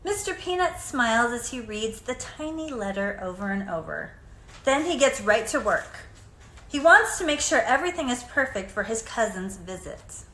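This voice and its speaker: Bright, high-voiced woman reading a storybook. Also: lively intonation, moderate pace. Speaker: storybook reader. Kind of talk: children's picture book read-aloud. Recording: echoey room, faint background noise.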